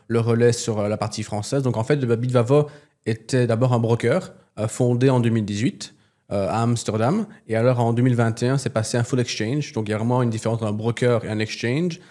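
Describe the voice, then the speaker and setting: Mellow-voiced man speaking non-native French. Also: mid-range voice. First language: not French. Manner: halting, searching for words. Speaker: company representative. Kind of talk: business interview.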